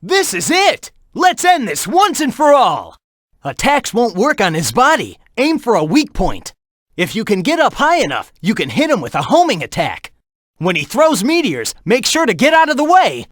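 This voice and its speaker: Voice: mid-range voice, wildly swooping pitch, normal speed, fairly bright tone, no room sound, studio quality. An actor voicing a cocky, upbeat young male hedgehog hero in a video game, brash and playful, calling out with urgency. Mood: determined. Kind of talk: animated video game voice acting.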